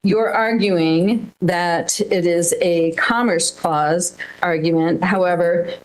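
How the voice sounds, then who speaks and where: A woman's voice: fairly low pitch, steady tone, takes it slowly, rough recording. A woman judge ruling from the bench, firm and patient, often repeating words as she talks.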